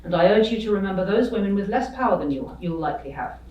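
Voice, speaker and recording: A woman's voice: mid-range voice, lively intonation, fast talker, a little mellow, distant mic. A woman panelist delivering a prepared speech in an earnest, urging, declamatory way. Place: lecture hall. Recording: roomy hall, voice from off mic.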